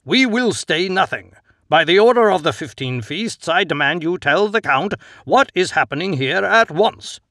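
A man's voice: sits high, expressive, normal speed, fairly bright tone, no room sound, clean studio sound, voice pushed up. A man voicing a pompous, blustering nobleman who rants and complains in an indignant, raised voice.

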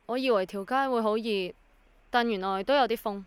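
A woman acting a practical young Hongkonger in a Cantonese radio drama. Her voice is crisp, fairly high and recorded very dry. She has a Hong Kong accent and sounds questioning, matter-of-fact and easily bewildered. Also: fairly quick, dry recording, lightly acted. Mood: sceptical, practical.

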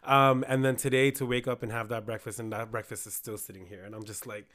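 A hoarse-voiced man talking in a casual podcast chat, animated, acting out quoted reactions. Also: medium pitch, fast talker. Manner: chatty, animated storytelling with quoted asides.

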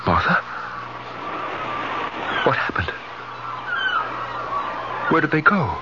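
An actor playing an anxious, jealous middle-aged husband in a 1970s radio drama, with dramatic, intense delivery. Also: male voice, fairly high pitch, wildly swooping pitch, takes it slowly, muffled recording, noisy background, heavily acted. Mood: bewildered. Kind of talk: 1970s radio drama acting and first-person narration. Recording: band-limited 1970s radio recording.

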